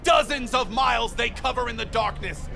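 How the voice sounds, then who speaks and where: High-pitched, creaky, fanatical street prophet. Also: male voice, moderate pace, over music, heavily acted. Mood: fervent, defiant. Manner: theatrical, grandiose preaching. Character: fanatical street-corner prophet ranting conspiracy theories, defiant, paranoid. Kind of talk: video game voice acting.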